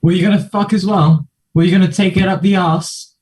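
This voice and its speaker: A man's voice: sits high, steady tone, brisk, darkish tone, close to an everyday voice, rough recording. Crude, boastful young lad a man reading. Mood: taunting. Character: crude, boastful, taunting young male bully. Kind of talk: play table read.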